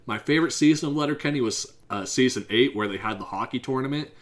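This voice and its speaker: A man with a Pittsburgh accent hosts his solo podcast, his voice hoarse and worn from a lingering cough. He talks in an unplanned, rambling way and keeps slipping into rushed bursts. His voice sits at an ordinary middle pitch. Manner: rambling and unscripted, drifts into fast talk. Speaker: podcast host. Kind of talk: casual solo podcast monologue.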